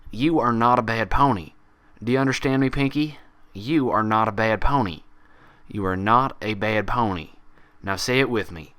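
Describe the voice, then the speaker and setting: A man's voice: fairly low pitch, normal speed, a bit bright, close to an everyday voice. A man voicing a firm, protective female pony doctor in a story reading, folksy and emphatic. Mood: firm, insistent. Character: firm, protective mare doctor, exasperated yet caring.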